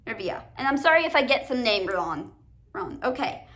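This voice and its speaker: A woman hosting a casual commentary video, reading summaries aloud in a halting way, often stumbling and repeating words. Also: a little high, big pitch swings, a bit bright. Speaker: host of a cartoon countdown video.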